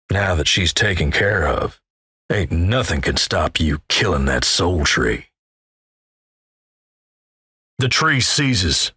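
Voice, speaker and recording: A man playing a gruff, folksy, wry Old West narrator in a video game, with a gravelly American Western drawl and measured, ominous storytelling. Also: deep voice, bright, forceful tone, no echo, studio quality, exaggerated voice. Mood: portentous. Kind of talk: dramatic second-person scripted game narration.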